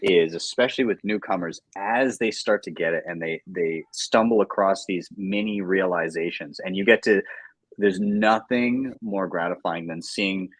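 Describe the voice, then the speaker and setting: A man's voice: sits low, normal speed, no room sound. A man hosting a casual panel, enthusiastic and encouraging toward the guests. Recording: dry close-mic sound.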